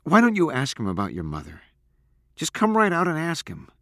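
Audiobook role: practical, insistent teenage girl read by a man, raised pitch, lively swings, close dry sound. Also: fairly quick, dry recording. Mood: scolding. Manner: scolding, insistent, firm. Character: stern, practical, devoted teenage girl sweetheart. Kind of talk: audiobook character acting.

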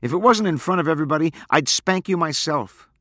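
A man's voice: medium pitch, big pitch swings, moderate pace, fairly bright tone, no room sound, clean studio sound, voice pushed up. A man voicing a practical, devoted teenage girl in an audiobook, scolding and insistent in her manner. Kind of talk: audiobook character acting.

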